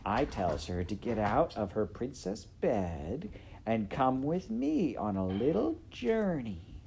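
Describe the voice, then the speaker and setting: A man voicing a loud, dim-witted, eager serving girl from a storybook, with uneducated rustic grammar and blurted exclamations. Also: mid-range voice, big pitch swings, takes it slowly, gravelly, slight background noise, exaggerated voice. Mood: gleeful. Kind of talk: storybook character voice in audiobook narration.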